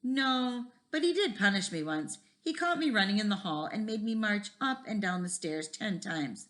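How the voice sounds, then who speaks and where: A woman's voice: mid-range voice, expressive, moderate pace, a bit bright, pitched above the performer's own voice, close to an everyday voice. A woman voicing a friendly, easygoing male computer teacher in a storybook, with a folksy, explanatory way of talking. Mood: reminiscing.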